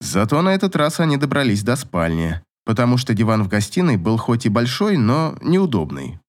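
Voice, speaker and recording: A man's voice: medium pitch, very animated, moderate pace. A man reading scripted narration from a romance novel as an audiobook narrator.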